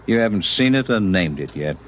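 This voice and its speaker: Man as a low, wistful visitor from the stars. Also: animated, natural voice. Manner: formal, measured phrasing. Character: wistful, gentle alien visitor inhabiting a man's body. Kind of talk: 1950s radio drama acting. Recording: noisy vintage radio broadcast recording.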